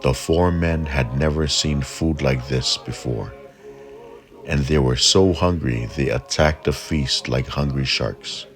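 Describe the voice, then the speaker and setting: Very deep, slow male storyteller. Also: faint music behind. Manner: measured, deliberate storytelling. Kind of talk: scripted folk-tale narration.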